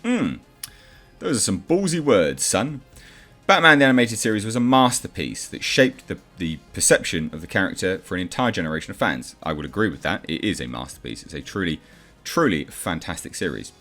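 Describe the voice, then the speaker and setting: A man's voice: low voice, big pitch swings, brisk, a bit bright. A man hosting casual movie news commentary, opinionated and blunt, with sardonic asides. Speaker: host of a movie news channel. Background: background music.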